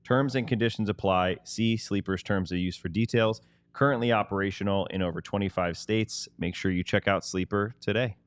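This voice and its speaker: A man with a fairly low voice co-hosts a sports show in casual, conversational style. The sound is dry and close. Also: brisk, no echo. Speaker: sports podcast co-host. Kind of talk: casual sports talk and ad reads.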